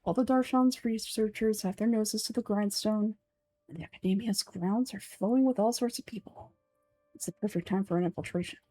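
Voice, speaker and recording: A woman voicing a calm, aloof young male scholar with a deadpan, measured delivery in a fantasy game. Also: mid-range voice, dry recording, lightly acted. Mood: matter-of-fact.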